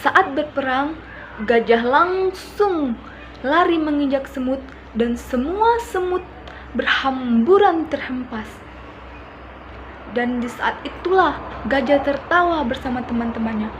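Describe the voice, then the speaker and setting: A young woman with an Indonesian accent, a student telling a folk tale aloud in a plain, steady reading. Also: high-pitched, slow pace, long pauses, a little hiss or hum, poor audio. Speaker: student storyteller.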